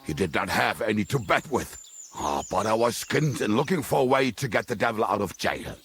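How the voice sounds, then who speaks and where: A man playing a roguish, foul-mouthed medieval mercenary in a video game, with a blunt, wry way of telling things and a gravelly, husky voice. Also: on the low side, lively intonation, fairly quick, a bit bright, slight background noise. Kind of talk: dramatic video game dialogue.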